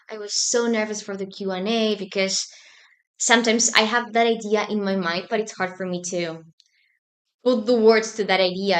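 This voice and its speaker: Young woman, thoughtful guest. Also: medium pitch. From Argentina. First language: Spanish. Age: young adult. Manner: thoughtful, measured, frequent ums. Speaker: student society president, guest. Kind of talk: casual interview talk.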